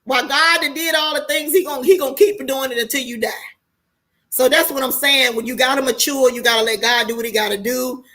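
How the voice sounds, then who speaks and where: A woman in her late forties with an American accent hosts a casual online monologue. Her voice is high-pitched and bright with a forceful edge. She talks straight to viewers in a candid, preachy, colloquial way and swears freely. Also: steady tone, fairly quick. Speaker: online show host talking to viewers.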